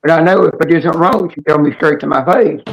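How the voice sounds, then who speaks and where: Hoarse, creaky-voiced woman chatting. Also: deep voice, quick pace, lo-fi sound. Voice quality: hoarse, raspy. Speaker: livestream host. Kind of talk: casual livestream chat.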